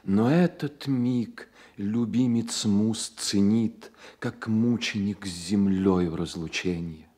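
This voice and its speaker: An actor playing an ardent romantic poet and fond friend in a radio play, speaking in an expressive, literary manner. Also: male voice, fairly low pitch, slow, few pauses, breathy edge. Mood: rapt. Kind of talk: Soviet radio play acting.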